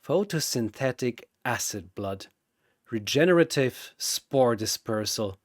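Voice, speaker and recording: A man's voice: medium pitch, expressive, slow pace, no echo, clean studio sound. A man voicing a cold, gloating mad scientist captain who speaks in a clinical, condescending, formal way, in a dramatic story. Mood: calculating.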